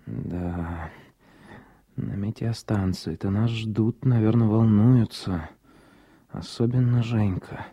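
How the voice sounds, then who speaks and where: An actor playing a weary, gentle, decisive doctor in a Soviet radio drama. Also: male voice, on the low side, slow pace, breathy edge, highs cut off, heavily acted. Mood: weary, worried.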